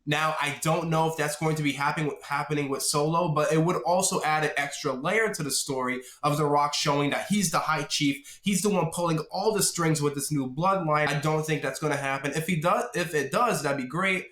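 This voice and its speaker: A man hosting a casual solo wrestling vlog, explaining his opinions informally, with a slightly raspy voice from a sore throat he is getting over. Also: a little high, a little fast, few pauses, fairly bright tone. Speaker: host of a wrestling prediction video channel. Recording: echoey, roomy sound.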